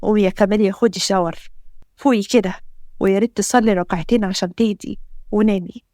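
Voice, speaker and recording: A woman with an Egyptian accent voicing a calm, patient husband and father who reassures steadily. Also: mid-range voice, fast talker, dry recording, clean studio sound, lightly acted. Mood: firm. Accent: Egyptian Arabic.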